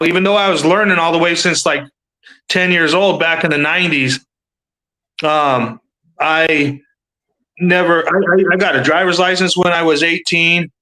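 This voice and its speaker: A man's voice: fairly high pitch, bright, forceful tone, poor audio. A man in his thirties hosting a livestream panel, rambling in casual chat and swearing freely.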